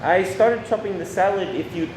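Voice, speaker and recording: A man playing an anxious, defensive husband in a radio play, pleading and halting. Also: high voice, darkish tone, lightly acted. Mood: conciliatory. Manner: pleading, halting, self-justifying. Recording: echoey room with faint noise.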